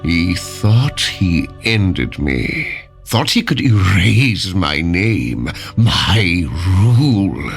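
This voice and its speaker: An actor playing a vengeful tyrant king returned from death, cold and menacing, in a dark fantasy audio drama, brooding and grandiose. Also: low voice, very animated, creaky, husky, full character voice. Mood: brooding menace. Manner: slow, brooding, grandiose pronouncements. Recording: music under the voice. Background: dramatic music.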